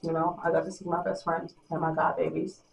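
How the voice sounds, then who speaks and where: A young woman vlogger in a small room at home, talking softly and rambling to the camera at night, sometimes losing her train of thought. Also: little pitch movement, fairly quick, gravelly, poor audio. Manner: soft and low-voiced at night. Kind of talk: casual late-night chat to camera.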